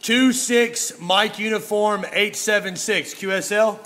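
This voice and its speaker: A man's voice: sits high, fairly even intonation, measured pace. A man from the United States, chatting casually and folksily over two-way radio with repeated phrases and jargon. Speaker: amateur radio operator. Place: indoor radio desk, filmed up close. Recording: noisy room beside radio set.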